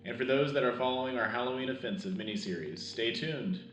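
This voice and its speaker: A man's voice: mid-range voice, fast, fairly bright tone, roomy sound, faint music behind, close to an everyday voice, poor audio. A man playing an earnest, patriotic host of a sci-fi wartime radio news show, with a formal announcer delivery. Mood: rousing, earnest. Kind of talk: scripted wartime radio broadcast.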